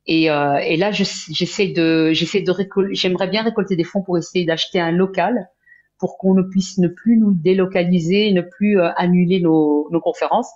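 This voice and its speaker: A woman from Belgium, a guest in a live interview, talking in an earnest, halting way with many restarts. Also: lowish voice, fairly even intonation, darkish tone. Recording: dull lo-fi sound.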